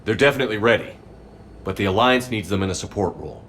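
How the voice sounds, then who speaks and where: A man playing a steady military commander in a game, terse and authoritative. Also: lowish voice, fast talker, slight background noise. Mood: firm. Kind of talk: cinematic game dialogue.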